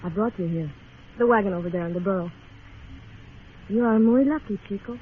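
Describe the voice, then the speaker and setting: A woman's voice: medium pitch, fairly quick, lightly acted. A woman playing a mysterious, fatalistic young temptress, languid and teasing, in an old radio western. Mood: mysterious, calm. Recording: muffled, faintly noisy old radio recording.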